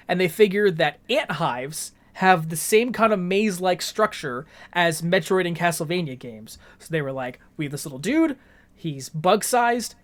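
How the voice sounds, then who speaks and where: A man co-hosting a podcast, explaining things from his notes in an articulate, measured, conversational way. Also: high voice. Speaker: podcast co-host.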